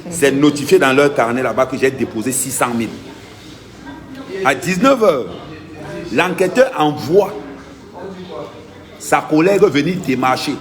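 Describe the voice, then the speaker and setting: A man's voice: mid-range voice, animated, unhurried, pauses often, a crowd in the background. A man, an activist vlogger giving an insistent, emphatic first-person account and repeating his key points.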